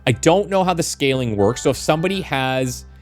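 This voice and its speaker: A man's voice: medium pitch, animated, fairly quick. A man giving casual let's play commentary as a video game streamer. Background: game music.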